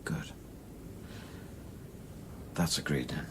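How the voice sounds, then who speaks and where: A man acting a troubled, weary scientist in intimate radio drama dialogue, terse and halting. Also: in a whisper. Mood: pleading, quiet. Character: troubled, weary male scientist, evasive with his lover. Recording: clearly audible background noise and ambience.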